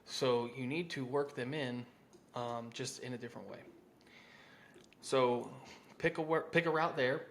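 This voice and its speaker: A man's voice: medium pitch, unhurried, pauses often, a little hiss or hum. A man with an American accent coaching contractors in an informal, conversational, salesy way, mixing instruction with sample phone-script lines.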